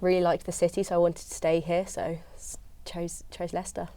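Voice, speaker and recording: A young woman from Surrey, a postgraduate student giving steady, plain-spoken answers on a panel. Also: lowish voice, a little fast, no room sound. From Surrey, England.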